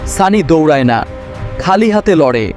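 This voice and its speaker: High voiced guy narrating a dramatic action story over music. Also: expressive, normal speed, darkish tone. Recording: clear music behind voice.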